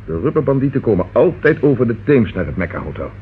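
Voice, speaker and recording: An actor playing a decisive, businesslike police inspector with brisk authority in an old radio crime drama. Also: male voice, medium pitch, sing-song, lightly acted. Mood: deliberate, businesslike. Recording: muffled, noisy old radio recording.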